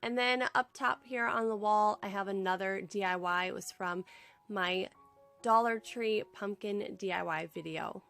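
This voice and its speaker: A woman's voice: mid-range voice, a little slow, creaky, fairly bright tone, soft background music. A young woman with a head cold, chatting in a friendly, upbeat way as she narrates a casual home decor how-to vlog from home.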